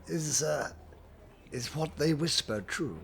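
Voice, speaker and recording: An actor in an audio drama playing a wry, weary old friend who speaks in a hesitant, confiding and gently probing way. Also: male voice, fairly high pitch, animated, takes it slowly, slight background noise, heavily acted. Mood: sly, confiding.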